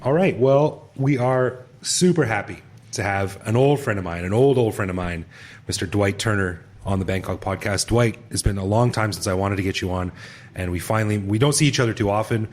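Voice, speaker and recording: A man's voice: lowish voice, fairly quick. A middle-aged man hosting a podcast, chatty and laid-back, laughing easily with an old friend. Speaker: podcast host. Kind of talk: casual interview.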